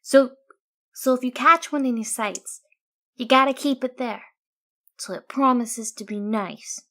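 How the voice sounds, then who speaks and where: Slow, cryptic pony mare voiced by a woman. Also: a little high, a bit bright. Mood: ominous, earnest. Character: odd, rambling female pony warning of unseen beings.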